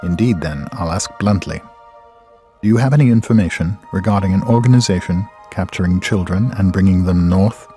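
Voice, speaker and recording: Deep fatherly expedition leader played by a man. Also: warm tone, soft background music, natural voice. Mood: earnest. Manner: measured, courteous, earnest. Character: calm, fatherly older male expedition leader. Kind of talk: video game cutscene acting.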